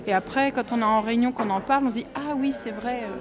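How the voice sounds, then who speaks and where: A woman's voice: on the high side, fast talker, a crowd in the background. A woman talking informally in an interview, colloquial and loosely phrased. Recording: people talking in the background.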